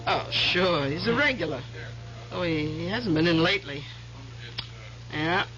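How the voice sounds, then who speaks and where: A woman's voice: on the low side, animated, measured pace. An actress playing a chatty waitress in a 1970s radio drama who rambles and chuckles as she gossips. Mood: gossipy. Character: chatty gossiping middle-aged woman waitress. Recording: dull 1970s radio broadcast, music behind.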